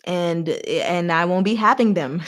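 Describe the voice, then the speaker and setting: Candid, animated woman chatting casually on livestream. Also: on the low side, a little slow. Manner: animated and candid, acts out imagined dialogue, laughs often. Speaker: livestream host. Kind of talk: casual rambling livestream chat, reading and answering viewer comments.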